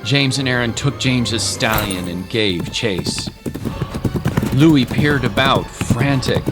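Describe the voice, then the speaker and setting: Guy narrating a dramatic story, slow low voice, heavy music behind him. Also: lively intonation.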